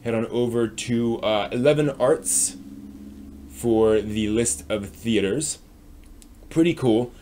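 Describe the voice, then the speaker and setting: A man with an American accent hosting a live stream, giving a casual news rundown in a hesitant, halting way as he thinks aloud. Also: mid-range voice, warm tone, a little hiss or hum. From United States.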